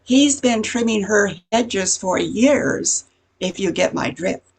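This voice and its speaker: An older woman playing a nosy, gossiping neighborhood busybody in an amateur radio comedy, chatty and insinuating with a husky, creaky voice. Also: mid-range voice, lively intonation, slow, exaggerated voice. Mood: confiding, insinuating.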